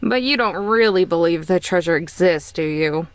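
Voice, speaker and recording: A woman's voice: lowish voice, animated, normal speed, no room sound. A woman playing a sensible young traveler in a visual novel, skeptical, dry and pointed. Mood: challenging.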